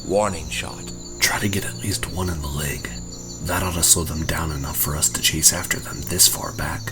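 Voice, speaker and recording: A man voicing a gruff, blunt, commanding guardian of a forest in a fantasy audio drama. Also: very deep voice, fairly even intonation, normal speed. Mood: grim. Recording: music bed with night insects.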